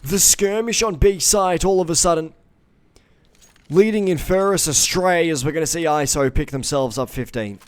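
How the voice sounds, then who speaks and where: Male esports caster, headset mic, live play-by-play that gets loud on big moments. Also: a little high, normal speed. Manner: builds to loud excitement on big plays.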